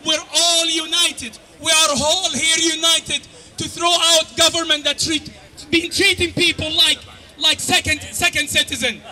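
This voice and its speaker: Male rally speaker, high, bright, shouted, chant-leading, outdoor protest. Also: little pitch movement, measured pace, a faint crowd. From Egypt. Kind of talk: fiery rally speech.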